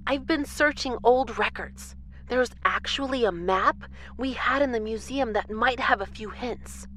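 A woman's voice: on the high side, unhurried, pushed and bright, slight background noise. A woman playing an eager, orderly moon base administrator in an audio drama. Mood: eager, helpful.

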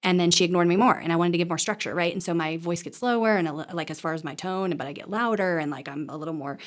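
A woman talks through her points very quickly in a slightly low voice. She sounds like a counselor in an interview, explaining conversationally with acted-out examples and frequent little check-ins. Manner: animated self-talk, checks in with "right?". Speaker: counselor or therapist, interview guest.

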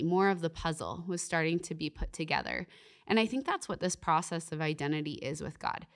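A young woman, a podcast guest talking earnestly and reflectively about faith, often saying "like" and "you know". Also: on the low side. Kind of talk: faith-based podcast conversation.

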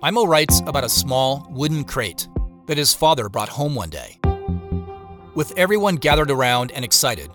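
A man's voice: mid-range voice, big pitch swings, moderate pace, over music, lightly acted. An actor playing a troubled, guarded man in an audio drama, reflective and earnest as he talks. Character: troubled, guarded man confiding in his sister and narrating. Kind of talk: audio drama acting and first-person narration.

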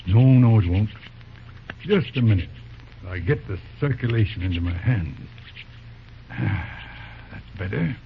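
An actor playing a calm, resourceful older uncle in an old-time radio drama, genteel and commanding. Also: male voice, lowish voice, a little slow, creaky, long pauses. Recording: tinny, hissy old radio recording.

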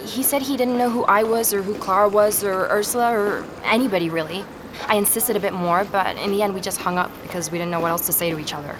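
An actress playing an earnest, rattled young woman investigator in a naturalistic audio drama, speaking haltingly as she records her search. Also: mid-range voice, lightly acted. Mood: baffled. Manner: hesitant, halting, with repeated words. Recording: street and vehicle noise behind.

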